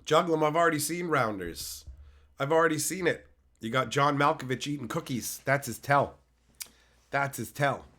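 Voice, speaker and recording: Male streamer, hoarse with a cold, loose and foul-mouthed, reading chat aloud. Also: mid-range voice, normal speed, pushed and bright. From Canada. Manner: loose, swears freely, answers chat by name. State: hoarse from being sick. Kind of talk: casual live-stream chat.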